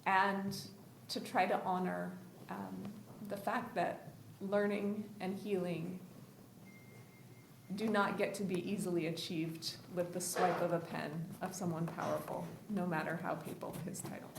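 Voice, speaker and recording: A woman professor and writer giving reflective, measured remarks in a group conversation, with light laughs. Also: lowish voice, flat delivery, gravelly, roomy sound, a little hiss or hum, low-quality recording.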